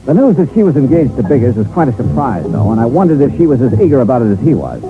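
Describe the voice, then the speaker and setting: Man playing wry detective, unflappable, dry casual delivery, animated pitch, vintage radio drama, narrow tinny recording. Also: quick pace, lightly acted. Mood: wry narrating. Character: wry, unflappable male insurance investigator narrating his case. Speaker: lead and narrator. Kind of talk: 1950s radio detective drama, narration and dialogue. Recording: tinny band-limited old radio recording, music behind.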